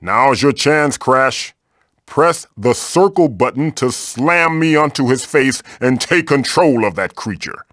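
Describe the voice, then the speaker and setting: A man's voice: medium pitch, lively intonation, slow pace, fairly bright tone, no echo, studio quality. A man voicing a wise, wisecracking ancient magical mask who guides players through a video game in a theatrical, avuncular, wry way. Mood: instructive, encouraging. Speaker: game guide character giving tutorials. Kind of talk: animated video game voice acting.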